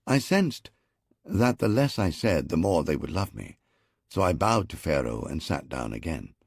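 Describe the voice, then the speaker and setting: A male audiobook narrator with a low, gravelly, slightly husky voice, reading in the first person. His intonation rises and falls in a lively way, carrying a dry, wry tone through the story. The recording is close and dry, with no room sound. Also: moderate pace, dry recording.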